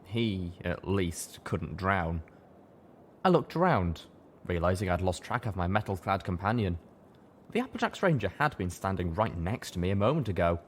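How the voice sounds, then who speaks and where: A man narrating a first-person fantasy audiobook in a steady storytelling manner. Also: low-pitched, normal speed, a little hiss or hum.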